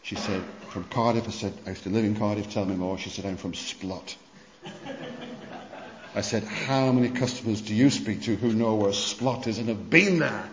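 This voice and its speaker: A man preaching at a conference, telling church history stories in an animated way with many restarts. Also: lowish voice, moderate pace, slight background noise. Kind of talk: sermon lecture on church history. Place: conference hall. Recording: muffled hall recording with long reverb.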